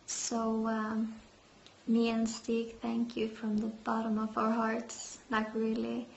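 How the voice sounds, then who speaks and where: Female metal vocalist, halting and filler-heavy, flat slow speech, raised pitch, echoey lo-fi room. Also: creaky, a little hiss or hum. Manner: hesitant, many fillers. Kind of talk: interview answers. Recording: roomy, dull lo-fi sound.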